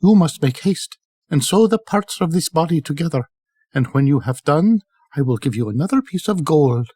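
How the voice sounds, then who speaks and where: Older man acting bold young slave woman, raised pitch, coaxing, secretive, storybook style, close dry sound. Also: lively intonation, normal speed, warm tone, slightly rough, dry recording. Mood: coaxing, firm. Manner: sighing, pleading storybook speech.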